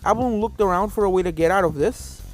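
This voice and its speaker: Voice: medium pitch, fast. A narrator reading an adventure story expressively, occasionally stumbling and correcting the wording, with game music behind. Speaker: narrator of a fan fiction reading. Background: video game music.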